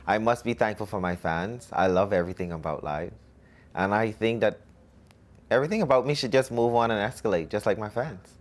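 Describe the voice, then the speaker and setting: Young guy from the bahamas bragging about himself in an interview, kinda low voice. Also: fairly quick. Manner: cocky, self-promoting, laughs between answers. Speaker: musician being interviewed. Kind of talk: boastful interview answers.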